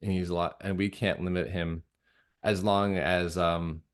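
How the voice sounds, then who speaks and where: A man speaking earnestly and haltingly in a conversational interview, repeating phrases for emphasis. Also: low-pitched, little pitch movement. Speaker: interview guest, radio drama creator.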